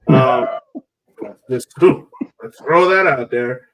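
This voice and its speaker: A man hosting a casual sports fan podcast, talking in an animated, slangy, exclaiming way. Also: medium pitch, very slow, distant mic, low-quality recording. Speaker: podcast host.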